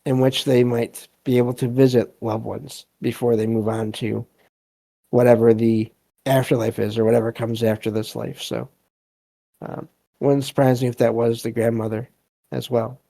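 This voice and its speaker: A man hosting a podcast, reading stories aloud and commenting casually in a halting, reflective way. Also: mid-range voice, level tone, gravelly, warm tone. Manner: halting, hesitant, reflective delivery. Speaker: podcast host.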